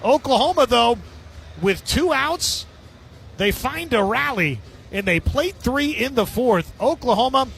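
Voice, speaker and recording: High-pitched man calling baseball on radio. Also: expressive, a little slow, creaky, a bit bright, a little hiss or hum. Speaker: baseball play-by-play announcer. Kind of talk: live radio sports commentary.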